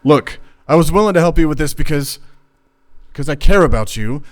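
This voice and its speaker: Anxious soft-hearted accomplice, acted by a man, highish voice. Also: lively intonation, a little fast, lightly acted. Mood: pleading. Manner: earnest, anxious. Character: soft-hearted, reluctant male accomplice, pleading. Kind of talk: noir radio play acting.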